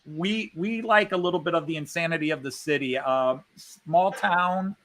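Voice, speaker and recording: Bright, chatty male host. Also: fairly high pitch, moderate pace. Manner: rambling, chatty storyteller. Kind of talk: casual chat.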